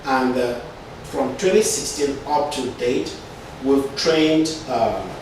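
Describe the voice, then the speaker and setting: A man's voice: mid-range voice, slow, a little mellow, a little hiss or hum. Guy from cameroon in an interview, lots of uhs and "right?", sounds far off in a really echoey room. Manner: hesitant, many fillers and restarts, frequent "right?". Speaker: IT consultant and entrepreneur. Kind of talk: interview answers.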